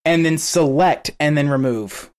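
Male podcast co-host, somewhat high voice, loose rambling chat with frequent laughs. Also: measured pace, a little mellow. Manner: rambling, laughs often between thoughts. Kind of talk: casual chat.

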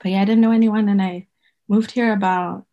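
A woman in her thirties, from Zimbabwe and raised in Botswana, talks as a podcast guest. She rambles and corrects herself mid-sentence, laughing often, at a pitch typical for a woman. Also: steady tone, a little fast, warm tone, highs cut off. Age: 30s. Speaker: guest, folk herbalist. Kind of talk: casual interview conversation.

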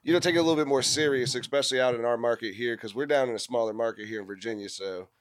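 A man's voice: mid-range voice, racing, dry recording. A man calling in to a radio show as a guest, explaining things in a loose, casual, conversational way. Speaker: call-in guest, event organizer.

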